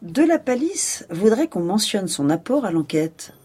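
An actress playing a composed, dryly authoritative police chief in a French audio drama, speaking in a measured, businesslike way. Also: female voice, mid-range voice, very animated, mellow, breathy edge. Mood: dry authority. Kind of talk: French audio fiction acting.

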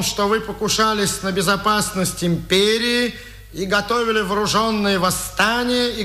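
A domineering Nazi judge from a vintage Soviet radio drama. The voice is high and piercing, with clipped commands that tolerate no reply. The room echoes around it. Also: male voice, bright, forceful tone. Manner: curt, imperious, commanding. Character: cold, domineering Nazi official and judge, male. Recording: roomy, echoing 1950s radio recording.

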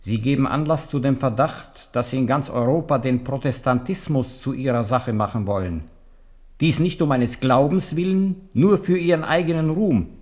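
An older man in a radio drama playing a bowed old papal legate, formal and deliberate, humble on the surface but coldly warning. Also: mid-range voice, a little mellow, natural voice. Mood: stern, accusing. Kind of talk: 1970s German radio drama acting.

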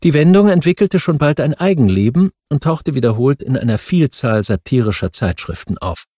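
Mellow male audiobook narrator. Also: mid-range voice, expressive, normal speed, no room sound, studio quality. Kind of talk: scripted narration of non-fiction history.

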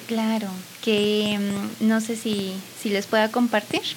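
A woman's voice: fairly high pitch, little pitch movement, a little slow, a little hiss or hum, rough recording. A woman speaking as a psychologist guest on a talk show, conversational, tentative and polite. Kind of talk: hesitant conversational guest remarks.

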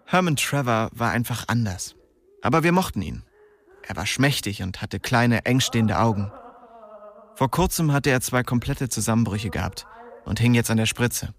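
A man's voice: mid-range voice, animated, moderate pace, lightly acted. An actor playing a young streetwise man who recounts his small-town youth colloquially in a radio drama. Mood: sober recollection. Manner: colloquial, storytelling. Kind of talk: radio drama first-person narration.

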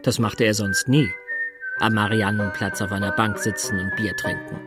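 An actor playing a sober, understated middle-aged systems consultant in a German radio drama. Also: male voice, fairly low pitch, steady tone, moderate pace, over loud music, close to an everyday voice. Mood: reflective. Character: sober male Berlin systems consultant in a love affair. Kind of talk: German radio drama dialogue and inner narration.

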